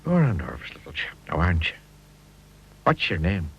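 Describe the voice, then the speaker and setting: A man voicing a calm, kindly tramp who speaks softly and without hurry. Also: low-pitched, vocal fry, husky, lo-fi sound, pitched below the performer's own voice, exaggerated voice. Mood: gentle. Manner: soft, kindly, unhurried. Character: gentle, calm adult male tramp.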